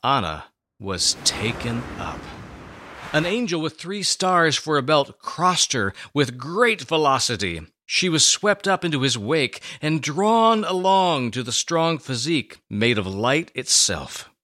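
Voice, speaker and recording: Animated male storyteller, bright voice. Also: a little high, dry recording, studio quality. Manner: deliberate, storytelling with dramatic pauses. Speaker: narrator. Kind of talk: dramatic scripted narration.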